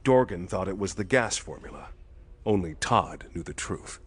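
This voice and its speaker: A steady police detective from a pulp thriller audio drama. His voice is fairly low, and he lays out the facts in a quiet, even, methodical way with firm authority. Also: normal speed, breathy edge. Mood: grave, explaining.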